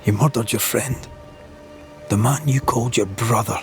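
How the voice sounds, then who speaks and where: An actor playing a stern, solemn ghost-hunter in a video game cutscene, pronouncing judgment in a formal, deliberate way. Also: male voice, mid-range voice, faint music behind, close to an everyday voice.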